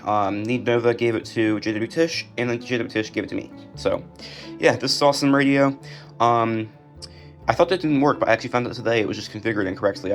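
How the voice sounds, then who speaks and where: A man's voice: fairly low pitch, vocal fry. Casual young American man showing a collection. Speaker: collector presenting own collection. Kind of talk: casual collection showcase narration.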